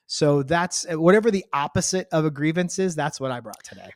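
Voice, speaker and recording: A man with a fairly high voice chats as a podcast co-host. He rambles and jokes in a loose, teasing way, recorded dry with very little room sound. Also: animated, a little fast, no echo. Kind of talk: casual banter with co-host spouse. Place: home garage studio.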